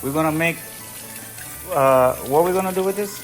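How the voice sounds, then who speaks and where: A man's voice: on the high side, normal speed, roomy sound, soft background music. A man with roots in Trinidad and Venezuela, chatting casually to his viewers while cooking in a home kitchen. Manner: chatty, addresses viewers directly. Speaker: vlogger.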